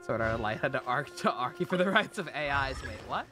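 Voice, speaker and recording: Streamer joking around over a game, super lively pitch swings, game music loud behind. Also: fast talker. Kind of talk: casual gameplay commentary. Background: video game music.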